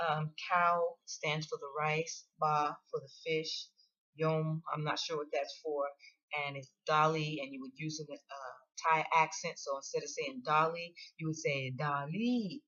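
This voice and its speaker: A woman hosting a how-to video, explaining things in a casual, unhurried, plain-spoken way. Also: deep voice, fairly even intonation, fairly bright tone, lo-fi sound. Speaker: host of a how-to video on ordering food.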